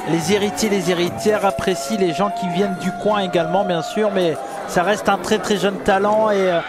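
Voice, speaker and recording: Man from brittany, wrestling commentator, high-pitched, lively chatter, loud crowd and music behind. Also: moderate pace. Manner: lively, chatty, often addresses co-host.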